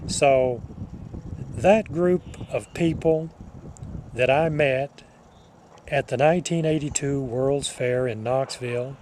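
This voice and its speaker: An older man telling a personal reminiscence to listeners, speaking in a measured, deliberate way with halting phrasing. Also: mid-range voice, darkish tone, slight background noise. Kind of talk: personal reminiscence told to an audience.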